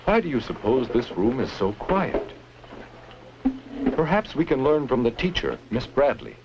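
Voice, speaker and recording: A man's voice: medium pitch, very animated, a little slow, background noise. A man narrating a 1950s school film, teaching with simple questions put straight to the viewer, on a muffled old soundtrack. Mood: curious. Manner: didactic, addresses the viewer with simple rhetorical questions. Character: genial adult male narrator guiding schoolchildren.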